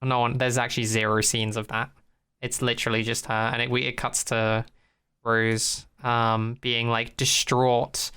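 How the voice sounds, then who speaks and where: A man's voice: medium pitch, flat delivery, a little slow, bright, forceful tone, clean tone. A man hosting a podcast, chatting in a casual, rambling comic recap style with an irreverent, sweary manner. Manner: irreverent, swearing, acts out quotes in put-on voices. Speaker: podcast host.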